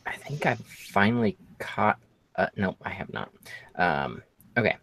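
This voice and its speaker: A man running a tabletop game, improvising narration as game master in a halting, drawn-out way. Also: low-pitched, sing-song, a bit bright, husky. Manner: halting, drawn-out storytelling delivery.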